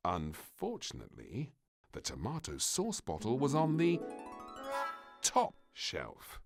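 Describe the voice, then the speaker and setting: A man reading a children's story aloud as an expressive storybook narrator. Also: medium pitch, slow pace, vocal fry, over music.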